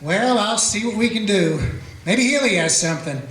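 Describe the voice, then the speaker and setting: A man's voice: sits high, expressive, moderate pace, a bit bright. A man voicing a weary small-town sheriff who narrates and questions a prisoner with folksy, dry humor. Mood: patient. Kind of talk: radio play narration and acting. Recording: echoey room with faint music.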